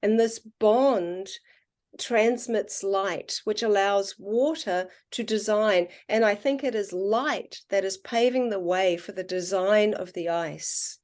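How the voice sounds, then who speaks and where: A woman with a New Zealand accent talks as a podcast guest in an easy, conversational way. She sounds earnest and reflective, and she slows down on the points that matter to her. Her voice sits at an average pitch. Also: darkish tone. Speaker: podcast guest, water researcher. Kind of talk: casual conversational interview.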